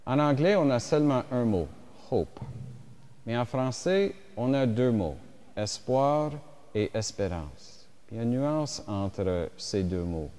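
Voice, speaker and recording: A man whose first language is English, giving a slow, reflective French homily as a bishop at mass, with pauses and fillers. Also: medium pitch, sing-song, dark tone. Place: church. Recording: reverberant church hall.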